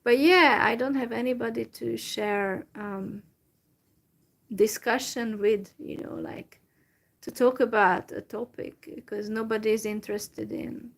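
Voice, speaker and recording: Slow, halting woman with a gravelly voice. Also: a little high, fairly even intonation. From Central Europe. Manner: halting, many fillers and restarts. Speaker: vlogger. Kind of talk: casual solo chat to viewers.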